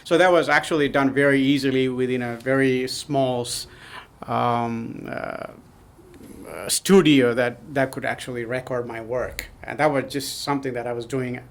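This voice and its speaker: A man's voice: mid-range voice, steady tone, normal speed. A man from Nepal, answering interview questions hesitantly with many ums and uhs. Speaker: podcast guest. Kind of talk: casual interview.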